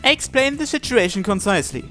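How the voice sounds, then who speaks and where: A man's voice: sits high, expressive, quick pace, sharp tone, soft background music. Man reading a visual novel aloud. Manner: reads narration and every character's lines in his own voice. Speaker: let's-play host.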